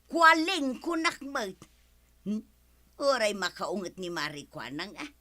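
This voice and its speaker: Sharp, brash village wife. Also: female voice, mid-range voice, big pitch swings, unhurried. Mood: defiant. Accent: Ilocano. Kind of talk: Ilocano radio comedy drama acting.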